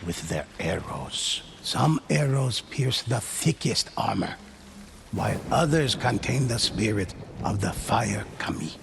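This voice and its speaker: An actor playing a grave old male storyteller who recounts warrior legends for a video game, in a measured, solemn, dramatic and gravelly delivery. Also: lowish voice, expressive, noisy background, full character voice. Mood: reverent, instructive. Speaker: narrator.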